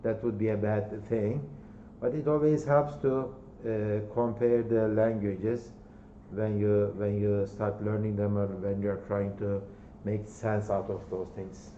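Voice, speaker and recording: Turkish accent guy teaching a class, mellow voice, keeps saying okay? and right?, roomy dull recording. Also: fairly low pitch, fairly even intonation, unhurried, a little hiss or hum. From Turkey. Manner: repeats words, checks in with "okay?" and "right?". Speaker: university lecturer. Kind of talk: classroom lecture. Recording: dull, echoey lecture hall recording.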